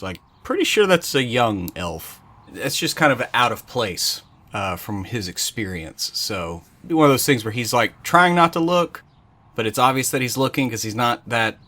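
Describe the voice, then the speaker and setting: Bright-voiced man, casual game player. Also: mid-range voice. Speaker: player in a role-playing game. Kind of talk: casual description of his character's actions.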